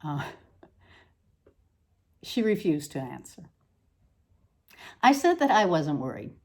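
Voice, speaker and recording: A woman giving a deliberate, expressive literary reading of an essay, with pointed emphasis on the words. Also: sits low. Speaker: reader. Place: at home.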